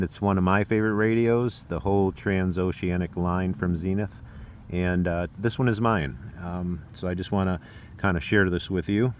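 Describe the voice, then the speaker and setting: An American man casually presenting a demonstration as a hobbyist, with a halting, unscripted delivery. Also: low-pitched, a little hiss or hum.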